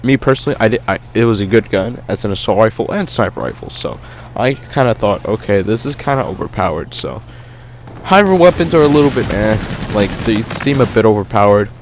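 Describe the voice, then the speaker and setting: A man's voice: on the low side, lively intonation, a little fast, a little hiss or hum. Rambling young man reviewing a game. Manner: rambling, hesitant, many fillers and restarts. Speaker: gaming YouTuber. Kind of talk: casual game review over gameplay.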